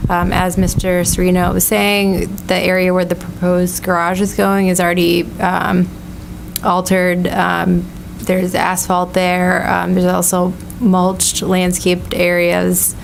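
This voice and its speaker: A woman giving a site report at a town meeting, careful and filling pauses with um. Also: fairly low pitch, monotone, vocal fry. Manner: careful, many ums. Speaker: conservation agent. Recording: noisy meeting room audio.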